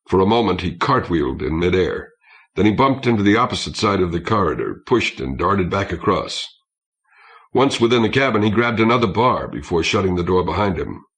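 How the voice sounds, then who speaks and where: Low-voiced man narrating with lively intonation. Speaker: audiobook narrator. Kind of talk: scripted narration of a science fiction story. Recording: old cassette audiobook transfer.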